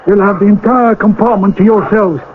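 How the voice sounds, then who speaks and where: Man acting a high-voiced, bustling train guard. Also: fairly even intonation, a little fast, close to an everyday voice. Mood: obliging, bustling. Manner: busy, obliging, chatty. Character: eager, fussy English railway guard, a working man. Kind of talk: radio drama acting. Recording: dull, noisy old radio drama recording.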